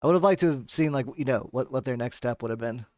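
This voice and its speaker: A man's voice: medium pitch, fast, a little mellow, no echo. A man who streams games, giving casual, off-the-cuff watchalong commentary into a close mic. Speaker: game streamer, host.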